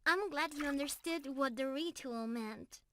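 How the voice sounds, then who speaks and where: A woman's voice: high-pitched, a little slow, bright, forceful tone, slight background noise. A woman voicing a young, sweet, adoring mermaid sweetheart in an emotional, affectionate ASMR romance roleplay. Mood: tender, reassuring. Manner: affectionate, breathy sighs and giggles, emotional.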